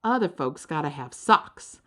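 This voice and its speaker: Woman as a lively drawling backwoods bird-turtle critter. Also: sits low. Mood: drawling, contented. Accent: rural Southern US. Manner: drawling, folksy, unhurried. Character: easygoing folksy half-bird half-turtle creature, lazy and contented. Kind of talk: children's storybook character voice.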